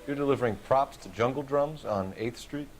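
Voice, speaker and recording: An actor playing a stern, by-the-book young police detective in a video game, speaking in a brisk, formal way. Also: male voice, mid-range voice, faint music behind, natural voice. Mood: accusing, firm. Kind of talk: dramatic video game acting.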